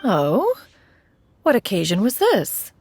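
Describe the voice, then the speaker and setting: A woman's voice: mid-range voice, huge pitch swings, takes it slowly, darkish tone, heavily acted. A woman voicing a proud, dignified ancient immortal with imperious manner and archaic formal diction in a game. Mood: stately, composed. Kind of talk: scripted game dialogue. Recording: studio voice over game music.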